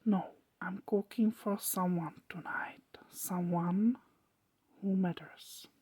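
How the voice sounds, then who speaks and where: Woman doing a mysterious sad vampire guy, asmr story, slow halting whisper, breathy and solemn. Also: lowish voice, steady tone, warm tone. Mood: quiet, secretive.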